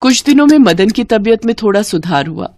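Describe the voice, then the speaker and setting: Female narrator for moral bedtime stories, medium pitch, dry studio sound. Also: lively intonation, fairly quick, darkish tone, no echo. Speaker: storyteller. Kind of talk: scripted moral-story narration.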